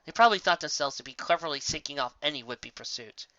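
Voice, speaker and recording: A reader narrating a scripted fantasy story in a theatrical, expressive way. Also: medium pitch, quick pace, no echo, highs cut off. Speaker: audiobook reader. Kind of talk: scripted narration of fan fiction story, dramatic.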